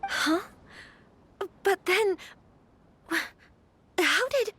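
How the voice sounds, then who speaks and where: A woman's voice: very high voice, lively intonation, extremely slow, sharp tone, exaggerated voice. An actress voicing a troubled, polite young noblewoman in a video game, speaking earnestly and hesitantly. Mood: surprised. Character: troubled young noblewoman, polite and conflicted about her wedding. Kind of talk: video game voice acting, scripted dialogue.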